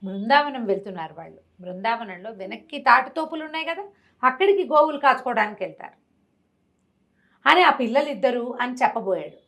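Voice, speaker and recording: Woman telling a story, kinda high voice, goes up and down a lot, repeats herself. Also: a little fast. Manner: lively, rises and drops in pitch and volume; repeats words and restarts. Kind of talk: telling a devotional story to an interviewer.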